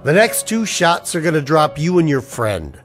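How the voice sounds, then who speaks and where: A man's voice: a little high, very animated, moderate pace, a bit bright. Actor as hard-boiled, world-weary male noir narrator, music underneath. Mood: tense, commanding. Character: weary, cynical, down-on-his-luck man narrating a noir tale. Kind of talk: scripted noir narration in the manner of old radio plays.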